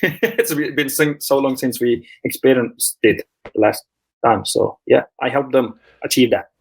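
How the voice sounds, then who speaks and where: A man's voice: medium pitch, measured pace, gravelly, husky. A man from Finland, a sleep coach, answering interview questions in a hesitant way, with many fillers, restarts and laughs.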